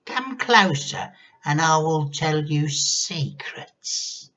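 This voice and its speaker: A man voicing a nasty, gleefully menacing old grandmother in a storybook, with drawn-out, gloating delivery. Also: a little high, lively intonation, sharp tone, muffled recording, voice pushed up, exaggerated voice. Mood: sinister coaxing. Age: older. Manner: drawn-out, gloating, sometimes throaty whispering.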